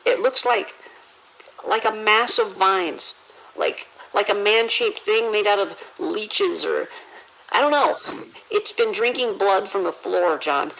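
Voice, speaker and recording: A man playing a terrified, trapped hospital worker in an audio drama, stammering and halting with fear. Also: high-pitched, vocal fry, bright, forceful tone, exaggerated voice, low-quality recording. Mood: desperate dread.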